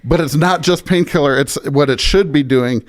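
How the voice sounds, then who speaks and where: A man's voice: fairly high pitch, normal speed. An American man speaking as a pastor in an interview, reflective and earnest, with emphatic, preacher-like repetition. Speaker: pastor, podcast guest.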